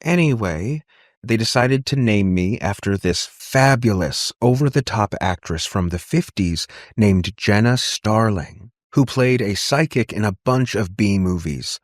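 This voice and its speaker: A man's voice: on the low side, no room sound, clean studio sound, natural voice. A man voicing a conciliatory aunt in an audiobook, tentative and anxious to connect. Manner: tentative, placating. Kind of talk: audiobook character dialogue.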